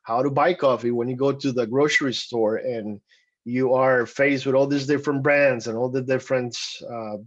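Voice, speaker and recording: A man presenting an online webinar in an easygoing way, often pausing and restarting his sentences. His voice sits at an ordinary middle pitch. The audio comes through a video call and sounds a little dull and lo-fi. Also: steady tone. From Costa Rica. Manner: conversational with frequent fillers and restarts. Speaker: webinar presenter, program coordinator.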